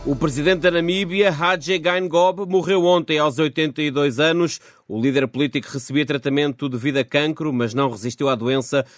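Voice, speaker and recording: Higher-pitched male radio news presenter. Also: normal speed, hardly any pauses. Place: studio. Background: music.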